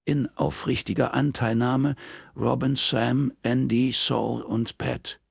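A man voicing a warm, gentle adult woman, a sister in mourning, in an audiobook. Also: medium pitch, fairly even intonation, slow, vocal fry, husky, dry recording, studio quality, voice pushed up, heavily acted. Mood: touched. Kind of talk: audiobook character dialogue in German.

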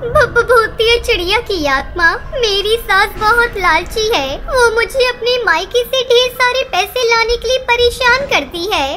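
A woman's voice: very high voice, a little slow, hardly any pauses. A woman voicing a despairing young married female bird in a cartoon, tearful and pleading. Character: despairing, abused young daughter-in-law bird.

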